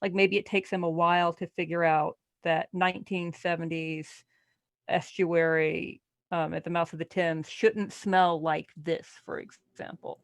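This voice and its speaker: Creaky-voiced woman, dry and analytical. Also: on the low side, little pitch movement, unhurried, dry recording. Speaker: podcast panelist. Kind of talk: casual book club discussion.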